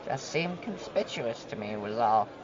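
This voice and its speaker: A woman's voice: rumbling low, expressive, a little slow, a crowd in the background. A woman playing a guarded, uneasy suburban neighbor who speaks tersely and hesitantly in an audio drama. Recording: muffled sound under clear music. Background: music.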